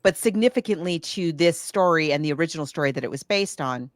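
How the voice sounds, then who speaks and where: Female, conversational discussion of books and film, very lively intonation on a slightly low voice, halting delivery, dry close sound. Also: no room sound. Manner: animated, halting, searching for details as she recounts. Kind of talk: casual book and film discussion.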